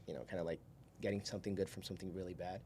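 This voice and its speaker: A young man with a fairly low voice tells stories as a podcast guest. He talks informally and wanders between thoughts. There is faint noise behind him. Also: flat delivery, racing, darkish tone. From Brazil. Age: 20s. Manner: informal, rambling, chuckles while talking. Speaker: podcast guest, solar salesman. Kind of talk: casual storytelling in an interview.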